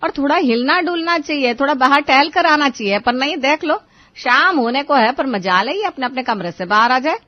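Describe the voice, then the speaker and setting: A woman voicing a shrewd, determined mother-in-law who speaks firmly in an animated moral story. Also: high-pitched, moderate pace, no room sound, dull audio, voice pushed up. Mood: indignant. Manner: firm, instructive.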